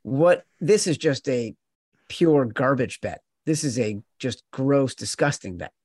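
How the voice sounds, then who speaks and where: A man's voice: medium pitch, expressive, unhurried, darkish tone, no room sound. A man hosting a sports betting podcast, talking casually and emphatically, repeating words for effect.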